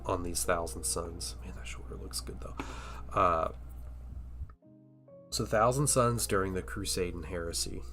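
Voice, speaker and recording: A man's voice: sits low, unhurried, pauses often, fairly bright tone, soft background music. A man with a stuffy cold and a nasal voice hosts a casual livestream while painting miniatures. He rambles, hesitates, and drops into murmurs as he works.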